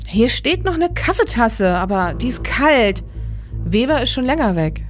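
An actress playing a tough, alert police detective in a German crime radio play, direct and businesslike. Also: female voice, fairly high pitch, sing-song, a little slow. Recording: music behind the voice.

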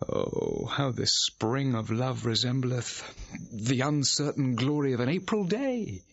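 An actor playing a lovesick young gentleman, declaiming anxious, melodramatic verse in a classical drama. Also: mid-range voice, wildly swooping pitch, measured pace, gravelly, no room sound, heavily acted. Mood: rueful, lamenting. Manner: declamatory, lamenting. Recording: dull, band-limited vintage audio recording.

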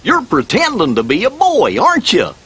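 A man's voice: sits high, wildly swooping pitch, normal speed, a bit bright, slight background noise, exaggerated voice. A man voicing a goofy, good-natured, folksy cartoon dog knight in a video game. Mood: mild, observant. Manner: folksy, amiable. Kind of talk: animated video game voice acting.

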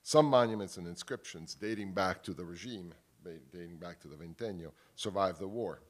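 Low-voiced man giving a panel talk. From Italy. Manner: measured, restarts often. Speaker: panel speaker. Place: wood-panelled hall with audience. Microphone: table microphone on stand.

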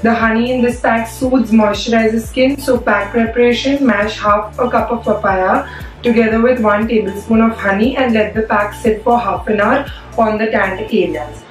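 A hoarse young woman, chatting casually as a beauty vlogger, full of "like" fillers. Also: a little high, fairly even intonation, normal speed. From India. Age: young adult. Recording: echoey room, a bit far from mic, faint music.

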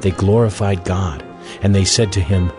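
A man narrating a dramatized audio Bible as measured, scripted storytelling. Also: low voice, steady tone, music in the background.

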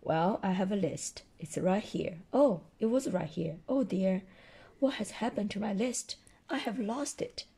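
Woman voicing old man Santa, storybook, kindly, flustered, hoarse, slow delivery. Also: mid-range voice, lively intonation, mellow.

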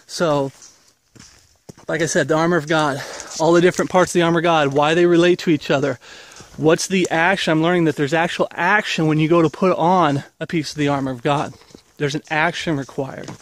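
A man in his forties with a slightly high voice talks into a handheld camera held at arm's length as he walks an outdoor trail. He gives a loose, rambling update in an earnest preaching tone while getting over an illness. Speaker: ministry leader. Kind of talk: walk-and-talk video update. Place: outdoors on a wooded path.

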